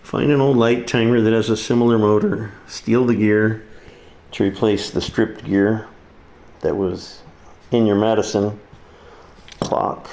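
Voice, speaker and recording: Gravelly, slow-talking man, casual repair demo. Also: fairly low pitch, steady tone, a little mellow. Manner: folksy, informal, talks through each step. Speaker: repair video presenter. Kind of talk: casual hands-on repair demonstration. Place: kitchen, indoors.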